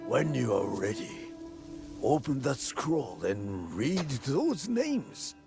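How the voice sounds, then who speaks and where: Slow, gravelly old sword master. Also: male voice, mid-range voice, very animated, over music, heavily acted. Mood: grave, challenging. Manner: deliberate, formal, weighty. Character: grave, older male sword master, proud of student. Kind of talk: dramatic video game cutscene acting.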